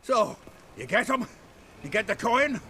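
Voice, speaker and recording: An actor playing a gruff, jovial, foul-mouthed dwarf in a video game, talking bluntly and heartily. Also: male voice, high-pitched, big pitch swings, unhurried, sharp tone, soft background music, full character voice. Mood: pleased, hearty. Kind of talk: video game character acting.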